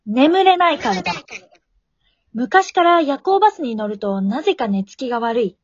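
A woman acting as a weary but determined office worker in a radio drama, narrating her inner thoughts. Her voice is clearly high, recorded very dry and slightly dull, like a lo-fi home setup. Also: animated, moderate pace, no echo. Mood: weary, resolute. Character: tired working woman narrating her struggle to sleep.

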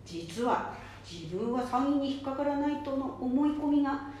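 A woman's voice: high voice, animated, moderate pace, darkish tone. A woman playing a patient, polite safety advisor who explains things step by step in an amateur radio drama. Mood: patient, advising. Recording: very echoey room, faint noise.

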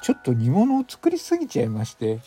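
A man's voice: mid-range voice, wildly swooping pitch, fast talker, dark tone, faint music behind. A man voicing a friendly, polite neighbour housewife with feminine phrasing in a radio drama.

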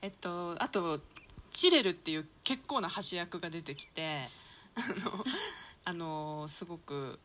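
A woman's voice: fairly low pitch, expressive, takes it slowly, a bit bright. A woman hosting a casual group chat as a podcast presenter. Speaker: host of a theatre company's talk podcast.

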